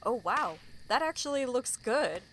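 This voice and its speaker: A woman acting a slow-talking young adventuress. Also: fairly high pitch, very animated, a bit bright, a little hiss or hum, full character voice. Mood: curious, friendly. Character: friendly, curious young female adventuring companion. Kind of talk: audio drama acting.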